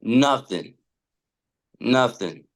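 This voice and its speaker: A man's voice: mid-range voice, expressive, drawn-out words. A man chatting casually as a podcast guest, emphatic and slangy, often repeating himself.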